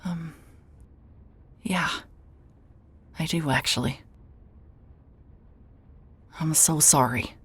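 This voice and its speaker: A woman playing a weary, introspective private investigator in a horror audio drama, guarded and quiet in manner. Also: sits low, drawn-out words, pauses often, breathy edge, heavily acted. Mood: hesitant, apologetic. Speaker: narrator and lead character. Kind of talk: scripted first-person noir horror audio drama narration.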